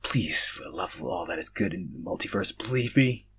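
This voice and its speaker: A man's voice: sits low, lively intonation, fairly quick, pushed and bright, breathy edge, full character voice. A man voicing a nervous, earnest foreigner from another world in a story reading, hesitant and pleading.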